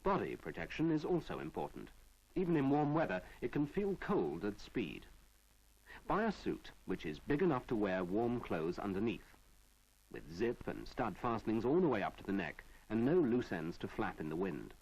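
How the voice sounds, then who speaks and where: A British man narrates a training film in a low register with a husky edge. His scripted delivery is measured and didactic, with plenty of rise and fall in the intonation, on a 1970s film soundtrack. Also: sing-song, a little mellow, poor audio.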